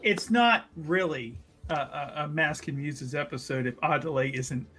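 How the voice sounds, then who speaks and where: A woman's voice: sits low, unhurried, over music. A woman in a tabletop game group, chatting and teasing casually, often repeating words as she starts. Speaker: tabletop roleplaying player.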